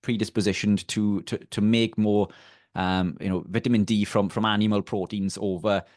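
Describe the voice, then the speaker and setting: Low-voiced Welsh man explaining. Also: level tone, moderate pace, darkish tone, no room sound, clean studio sound. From Wales. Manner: hesitant, many fillers and restarts. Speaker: guest. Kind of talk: casual explanatory chat.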